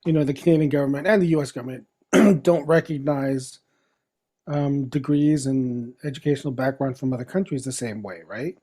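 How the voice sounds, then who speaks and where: A middle-aged man from the Philippines, a guest chatting casually in an interview, relaxed and chuckling as he talks. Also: mid-range voice, a little fast. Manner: relaxed, chuckling, trails off and restarts.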